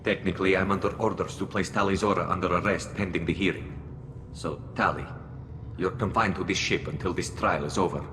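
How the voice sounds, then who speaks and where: Alien captain, very low voice, grave, formal, helmet filter, reverberant game cutscene. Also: male voice, fairly even intonation. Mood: official. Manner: formal, measured. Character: male alien ship captain, grave, sympathetic. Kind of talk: video game cutscene acting. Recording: reverberant hall, noisy ambience behind.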